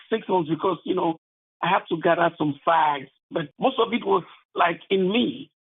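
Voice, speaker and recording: Cameroonian-accented man, high-pitched, husky, earnest halting interviewee, narrow telephone-like sound. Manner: halting, peppered with "you know". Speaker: author being interviewed. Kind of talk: earnest conversational interview answers. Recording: thin phone-like line.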